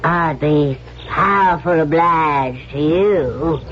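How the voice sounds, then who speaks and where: Slow, meek Southern runaway youngster. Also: female voice, sits low, background noise. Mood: meek, weary. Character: hungry, timid runaway youngster, polite and weary. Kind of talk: radio drama acting. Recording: muffled vintage radio recording.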